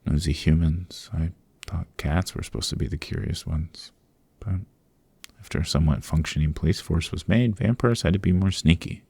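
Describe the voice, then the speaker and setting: A man playing a playful, theatrical vampire over a century old in a chatty ASMR roleplay, with a gravelly, husky voice and rambling asides. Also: low voice, moderate pace, warm tone, no room sound, full character voice. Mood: confiding, storytelling. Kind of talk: ASMR roleplay, close and conversational. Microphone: close, dry microphone.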